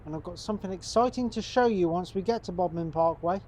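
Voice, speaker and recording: A man's voice: high-pitched, quick pace, a little hiss or hum. A man with a congested, stuffed-up voice from a cold, chatting casually to viewers while playing a game. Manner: relaxed, chatty, talks to viewers as folks. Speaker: gaming channel host. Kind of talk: casual gameplay commentary.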